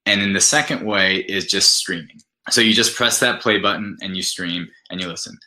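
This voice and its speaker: Deep, very bright-voiced man pitching. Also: moderate pace. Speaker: app product manager, interview guest. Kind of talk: conversational interview pitch.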